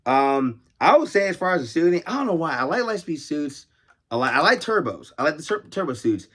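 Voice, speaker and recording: A man's voice: on the high side, very animated, fairly quick, a bit bright, breathy edge. An American man hosting a casual, unscripted vlog as a toy collector, talking in a rambling, conversational way and often repeating himself. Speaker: toy collector and video host.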